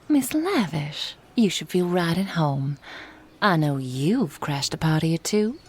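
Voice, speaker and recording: Woman putting on a pompous, slow-talking fawning sidekick. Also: low voice, big pitch swings, slight background noise, pitched below the performer's own voice, heavily acted. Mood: sly teasing. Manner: pompous, flowery, obsequious. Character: fawning, pompous sidekick publicist, wordy and teasing. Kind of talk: ASMR audio drama acting.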